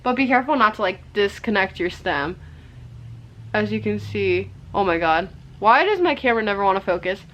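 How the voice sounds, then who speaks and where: A young woman giving a chatty, rambling makeup tutorial, her voice a little hoarse from a cold. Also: medium pitch, animated, moderate pace, a bit bright, dull audio, slight background noise. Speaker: makeup vlogger.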